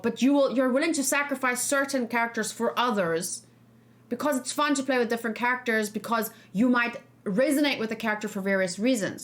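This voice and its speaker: A woman with a slightly high voice chats as a livestream host. She thinks aloud in a loose, hesitant way, often rephrasing herself as she gives her reactions to viewers. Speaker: streamer host. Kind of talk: casual livestream reaction and discussion.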